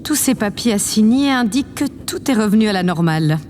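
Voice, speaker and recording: An actress playing a vain, condescending woman president in a French audio drama, measured and haughty. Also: mid-range voice, animated, darkish tone, a little hiss or hum, natural voice. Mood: smug.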